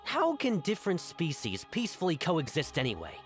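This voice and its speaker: An actress playing a calculating, indignant citizen in a video game, persuasive and emphatic. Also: female voice, low voice, huge pitch swings, fairly quick, faint music behind. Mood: bitter. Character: calculating, indignant female citizen, pleading conspirator. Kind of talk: dramatic video game voice acting.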